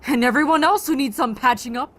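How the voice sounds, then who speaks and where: A woman playing a brisk, practical and confident survivor in a fan-made audio drama. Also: high voice, fairly bright tone.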